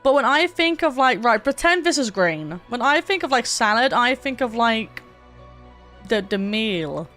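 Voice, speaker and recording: Female game streamer, fairly high voice, casual sweary banter and joking rambles, music behind. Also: big pitch swings, a little slow, a bit bright. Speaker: livestreamer.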